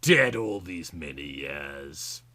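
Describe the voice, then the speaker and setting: A man's voice: extremely low pitch, big pitch swings, takes it slowly, sharp tone. A man reading a story aloud in a theatrical, exaggerated, melodramatic comic style. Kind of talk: melodramatic comic audiobook narration.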